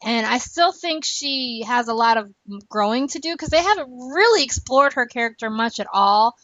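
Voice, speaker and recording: A woman chats casually as a podcast host, quick and animated, with frequent laughs. Her voice sits a little high. The recording is dull and lo-fi, with no room sound at all. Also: dry recording. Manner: chatty, laughs often, animated asides. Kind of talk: casual chat about a TV show. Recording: dull lo-fi, dry.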